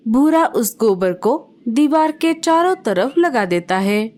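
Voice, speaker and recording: Woman storybook narrator, calm, slightly high voice, slow delivery.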